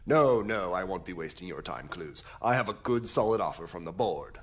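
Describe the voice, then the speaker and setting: A man playing a smooth-talking crown prosecutor in an audio drama, wry, insinuating and theatrical. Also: deep voice, lively intonation, moderate pace. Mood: smug, affable.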